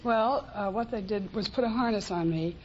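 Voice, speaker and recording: A woman's voice: mid-range voice, moderate pace, darkish tone. An American woman from Pennsylvania, casually answering a host's questions as a quiz show contestant. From Pennsylvania, United States. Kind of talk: casual unscripted interview answers. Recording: dull, faintly noisy vintage radio recording.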